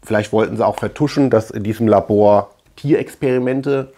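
Halting middle-aged man reviewing films. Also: lowish voice, warm tone. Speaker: host of a film collection channel. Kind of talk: casual film review to camera. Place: small room lined with shelves of collectibles.

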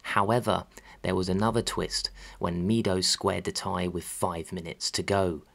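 A man reading scripted documentary narration about sport in a measured, factual way. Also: low voice, fairly even intonation, gravelly, a bit bright. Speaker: narrator of a football history channel.

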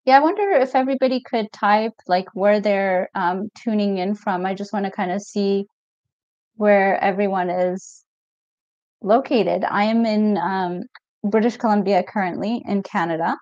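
A middle-aged woman from Ethiopia, a mental health coach hosting a live stream Q&A in a relaxed, chatty way, reading viewer comments aloud with many fillers. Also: medium pitch, moderate pace, no room sound. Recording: dull lo-fi stream audio, dry.

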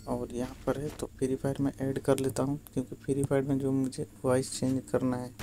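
Flat-toned man with a gravelly edge. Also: mid-range voice, noisy background. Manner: repetitive, instructional, addressing viewers directly. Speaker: tutorial video host. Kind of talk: casual how-to narration over screen recording.

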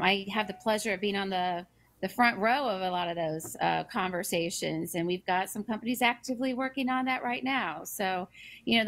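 Upbeat woman on a video call, lots of ums, mid-range voice, nonprofit leader. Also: medium pitch. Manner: upbeat, promotional, many ums. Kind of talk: interview answers.

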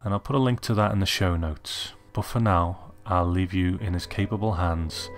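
A man's voice: low-pitched, normal speed. A man giving a conversational introduction as a podcast host. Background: faint music.

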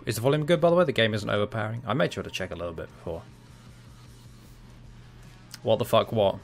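Gravelly young man streaming a game. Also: on the low side, fast, faint music behind. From half Cypriot. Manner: chatty, reacts loudly to the game, laughs, chats with viewers. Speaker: gaming streamer. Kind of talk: casual live-stream commentary. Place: small room at home.

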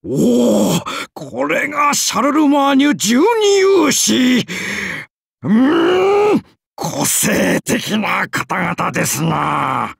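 Goofy old knight from a game, husky n creaky, pompous and courtly, real animated. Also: male voice, very high-pitched, drawn-out words, no echo, studio quality, exaggerated voice. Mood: awed, flustered. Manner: pompous, reverent, theatrical. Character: eccentric, excitable, courtly old male knight. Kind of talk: game character acting.

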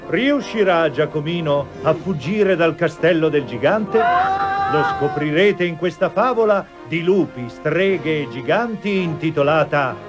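A man's voice: on the high side, sing-song, unhurried. A man narrating a children's cartoon fairy tale in a scripted, theatrical storytelling manner. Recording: dull lo-fi TV soundtrack with music. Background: music.

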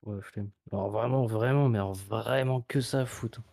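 A man's voice: on the low side, normal speed, vocal fry, warm tone, dry recording, clean studio sound. A man in casual gaming voice chat, slangy and emphatic in his wording. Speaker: gamer.

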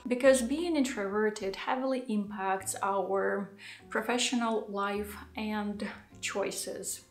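Slow-talking woman vlogger, echoey room. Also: medium pitch. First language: not English. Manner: thoughtful, unhurried, with soft laughs and gestures. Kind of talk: casual talking-head vlog. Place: home living room, indoors.

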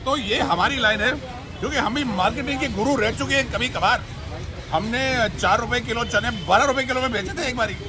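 A man's voice: very high voice, brisk. A middle-aged man hosting a food vlog, chatting and joking as he describes the food on an outdoor market street. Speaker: food show host. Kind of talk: casual food vlog chat. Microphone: phone camera at close range. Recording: outdoor street crowd behind.

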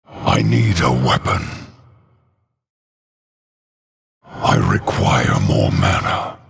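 Evil undead king for a game, super slow and menacing, crazy gravelly, pitch swings all over, bossy and cruel. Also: a bit bright, full character voice. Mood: cold, grim. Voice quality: very deep, gravelly rasp. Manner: slow, menacing, commanding declarations. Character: cruel, imperious undead death knight king. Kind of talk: video game hero voice lines.

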